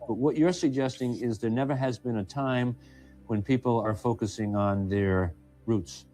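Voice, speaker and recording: A man interviewing a guest in an archival clip, asking measured questions with hesitant pauses. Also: medium pitch, warm tone, faint music behind, poor audio.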